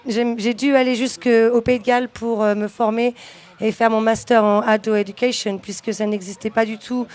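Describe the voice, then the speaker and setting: A woman's voice: a little high, a little mellow. A woman founder of an outdoor school giving a radio interview at an outdoor event, talking fluently but with many fillers and restarts. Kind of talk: radio interview, switching between English and French.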